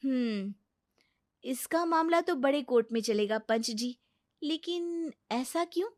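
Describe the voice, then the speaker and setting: A woman's voice: high voice, expressive, a little slow. A woman playing a spirited young married lawyer in a Hindi story cartoon, pert and plain-spoken with her in-laws. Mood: confident. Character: young, newly married lawyer daughter-in-law, spirited, exasperated.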